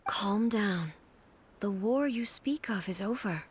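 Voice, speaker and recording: Regal, protective female shogun, mid-pitched, formal, measured, solemn game delivery. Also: animated, noisy background. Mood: calm, commanding. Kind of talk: video game voice acting.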